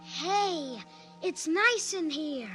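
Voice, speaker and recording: An actor playing an eager, bold young boy in a vintage radio drama, with a high childlike voice and an excited, confident manner. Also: extremely slow, loud music behind the voice, full character voice.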